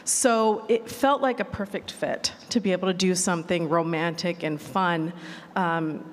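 A woman's voice: lowish voice, steady tone, measured pace. A woman explaining her ideas casually as a writer on a panel. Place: large reverberant hall at a live panel. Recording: echoey hall reverb.

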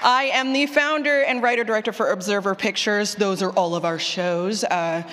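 Female session speaker, medium pitch, informal and chatty, self-interrupting, joking asides, handheld mic, long hall reverb. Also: normal speed, fairly bright tone, a faint crowd. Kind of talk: informal presentation to an audience. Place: large room with an audience. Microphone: handheld microphone.

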